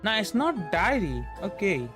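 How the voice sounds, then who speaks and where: A young man with an Indian accent streams a game in a notably high voice. His casual chat is halting, with repeated words and trailed-off thoughts. Faint game music plays behind him. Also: very animated. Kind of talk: casual livestream chat while playing.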